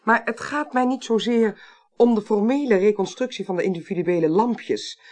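A woman's voice: mid-range voice, moderate pace, darkish tone, close to an everyday voice. An actress in a 1980s radio drama playing a courteous, persistent woman investigator who speaks thoughtfully and precisely. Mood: keen, thoughtful.

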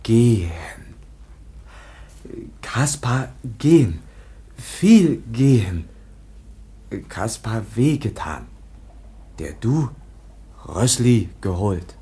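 A very slow, childlike foundling youth. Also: male voice, medium pitch, sing-song, mellow, exaggerated voice. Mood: halting, eager. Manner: halting broken speech, naming himself in third person. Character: childlike, timid foundling youth of about seventeen. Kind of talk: German radio drama acting.

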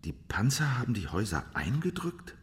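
A sober interrogator in a radio drama. His voice sits fairly low, with long hall reverb around it. He is formal, clipped and factual. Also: very animated, fairly quick. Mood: formal, probing. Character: sober male interrogator reading out a file. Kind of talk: German radio play interrogation.

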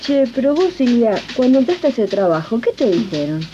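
A woman's voice: on the high side, very animated, brisk, mellow, slight background noise. A woman playing a plain-spoken Argentine domestic worker and union comrade who narrates a 1980s radio drama conversationally. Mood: concerned, indignant. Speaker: narrator and host of radio serial. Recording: dull 1980s archive radio tape.

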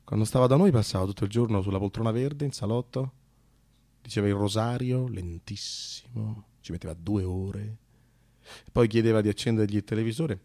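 A man narrates a personal radio monologue. His voice is creaky and close, with a dry sound, and he moves in broken phrases with theatrical pauses. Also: on the low side, warm tone, dry recording. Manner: halting, broken phrases with dramatic pauses and repetitions. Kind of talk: theatrical autobiographical storytelling, conversational.

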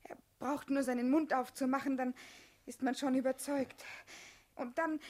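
An anxious young woman in a radio drama, her voice notably high. She speaks in a hesitant, searching way, naive and pleading. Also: steady tone, moderate pace, a little mellow. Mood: pleading, questioning. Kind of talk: mid-century German radio play acting.

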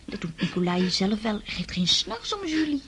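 A woman playing a warm-hearted, impulsive young girl in a radio play, soothing and eager in manner. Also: mid-range voice, big pitch swings, moderate pace. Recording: faint background ambience noise.

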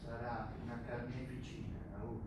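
An actor playing a stern, world-weary older rugby coach who warns emphatically in a theatrical stage style. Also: male voice, medium pitch, little pitch movement, normal speed, natural voice. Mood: urgent warning. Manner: emphatic, warning. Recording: echoey theatre, distant mic, background hiss.

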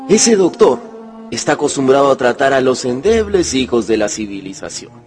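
A man's voice: mid-range voice, very animated, normal speed, darkish tone, faint music behind, natural voice. An actor playing a devoted young man who loves his animal, in a radio drama. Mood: proud, affectionate.

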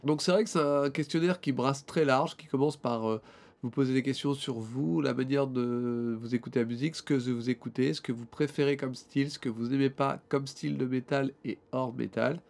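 Man with a cold, gravelly voice. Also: medium pitch, fairly even intonation, normal speed, darkish tone. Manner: rambling with fillers and restarts. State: has a cold. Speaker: podcast co-host. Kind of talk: casual chat.

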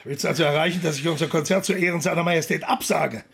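An actor playing a demanding, impatient baroque composer and bandleader in a German radio drama, urgent and exclamatory, with a husky, gravelly voice. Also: male voice, fairly high pitch, very fast, a bit bright, a little hiss or hum. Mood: stern, indignant.

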